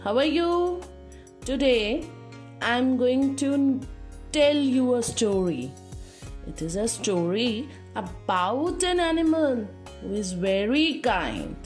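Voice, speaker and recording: A woman teacher telling a moral story to young children, speaking deliberately and addressing her young listeners directly. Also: a little high, lively intonation, darkish tone, faint music behind.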